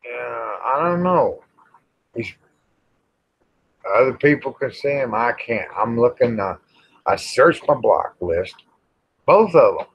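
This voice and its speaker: Slow, folksy man, muffled audio. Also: mid-range voice, lively intonation, vocal fry, husky, no room sound. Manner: folksy, grumbling, drawn-out with pauses. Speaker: livestream host. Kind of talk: rambling casual livestream chat with viewers. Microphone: small microphone near the face. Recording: dull, muffled livestream audio.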